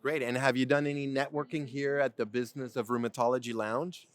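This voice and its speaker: A man's voice: mid-range voice, level tone, brisk, no echo. Male conference host, easy chatty interviewer, dry close recording, mid-range voice. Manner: friendly, chatty, with fillers like "you know". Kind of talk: conversational interviewing. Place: convention center floor.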